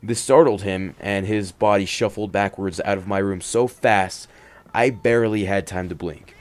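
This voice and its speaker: Low-voiced man reading horror stories. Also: fairly even intonation, moderate pace. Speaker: horror story reader and host. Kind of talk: dramatic first-person horror narration with casual commentary.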